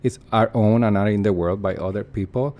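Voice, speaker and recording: A man's voice: fairly low pitch, brisk, muffled recording. A man, a performance artist, giving earnest and reflective interview answers while searching for his words.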